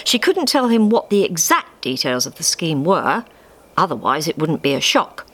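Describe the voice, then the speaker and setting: A woman's voice: deep voice, very animated, moderate pace, fairly bright tone. A woman narrating a scripted story expressively, recorded live in a theatre. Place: small theatre stage before an audience. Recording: live theatre recording with faint background noise.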